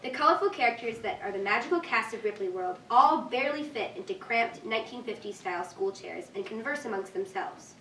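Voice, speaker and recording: A woman's voice: a little high, lively intonation, a little fast, hardly any pauses, a bit bright. A woman reading scripted stage directions as narrator at a live staged reading. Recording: distant echoey room, faint noise.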